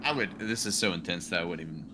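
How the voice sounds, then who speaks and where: A man's voice: low voice, lively intonation, quick pace. A man chatting casually as a player at a tabletop game, on a noisy recording. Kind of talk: casual chat. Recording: noisy voice track with ambience. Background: clear background noise.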